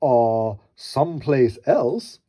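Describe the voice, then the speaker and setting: A man's voice: mid-range voice, lively intonation, very slow, warm tone. A man voicing a scheming mama dinosaur from a storybook, in a stagey, falsely innocent way. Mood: feigned innocence. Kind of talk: storybook character voice.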